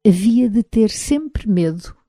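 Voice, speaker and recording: A woman with a European Portuguese accent reading a literary novel aloud in the first person, measured and reflective. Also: medium pitch, expressive, dark tone, dry recording, studio quality. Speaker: audiobook narrator. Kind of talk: literary audiobook narration.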